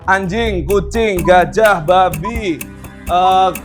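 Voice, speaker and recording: A man's voice: high voice, drawn-out words. An Indonesian man playing as a quiz contestant, joking in casual, colloquial banter with music behind him.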